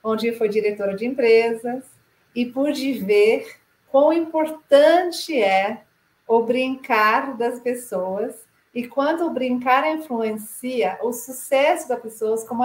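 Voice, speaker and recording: A woman from Canada talks at a measured pace in a slightly high voice, with a Canadian English accent. She is presenting in her second language and sometimes restarts a phrase. The room around her sounds echoey. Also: darkish tone. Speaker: director of a play advocacy association. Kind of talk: presentation talk to camera.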